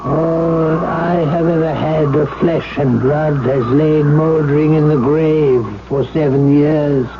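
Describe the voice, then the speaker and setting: A man playing a wary, bitter old reclusive alchemist in a radio drama, halting and archaic in his speech. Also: a little high, steady tone. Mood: wary, brusque. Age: older. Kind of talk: 1970s radio drama acting. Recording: dull, noisy 1970s radio recording.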